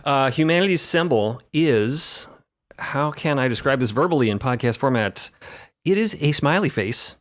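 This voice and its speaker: A man's voice: mid-range voice, animated, moderate pace. A man playing a tabletop roleplaying game, improvising descriptions in a theatrical, ornate way.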